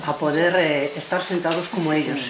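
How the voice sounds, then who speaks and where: Spanish lady teacher thanking a group, low voice, quick, echoey noisy room. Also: steady tone. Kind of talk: informal thank-you speech.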